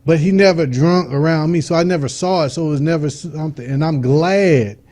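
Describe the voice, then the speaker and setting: A middle-aged man, his voice sitting a little high but soft and mellow. He speaks informally and earnestly as a talk-show guest and builds his points with homely examples. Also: fairly quick. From Detroit, USA. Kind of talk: casual talk-show conversation.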